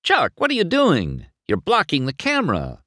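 A man's voice: a little high, huge pitch swings, normal speed, bright, forceful tone, no room sound, clean studio sound, voice pushed up, exaggerated voice. A man voicing a cocky, self-centred young male race car with a smug, sarcastic way of talking. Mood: startled, loud.

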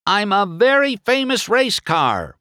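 A man's voice: a little high, huge pitch swings, measured pace, a bit bright, no echo, clean studio sound, pitched above the performer's own voice, exaggerated voice. A man voicing a cocky young race car in a children's story, whiny and self-important. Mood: indignant.

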